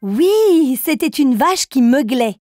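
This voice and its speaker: A woman's voice: high-pitched, sing-song, slow pace, music in the background, exaggerated voice. A woman playing a kind, teacherly grown-up who encourages small children through riddles in a cartoon. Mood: encouraging.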